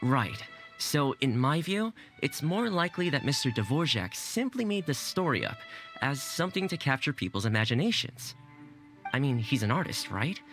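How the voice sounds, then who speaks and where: A man's voice: medium pitch, sing-song, normal speed, a bit bright, soft background music. A man playing a casual, thoughtful young companion in a video game, conversational and reasoning.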